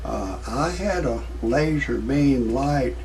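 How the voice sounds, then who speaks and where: A man in his sixties giving rambling, off-the-cuff commentary in a folksy, repetitive, halting way, as an amateur video host. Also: mid-range voice, animated, recorded from a distance, background noise. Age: 60s.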